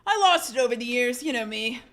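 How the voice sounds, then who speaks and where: A woman's voice: on the high side, lively intonation, fairly quick, a bit bright. A woman livestreamer chatting casually with her audience, chatty and self-deprecating, often laughing and reading chat aloud.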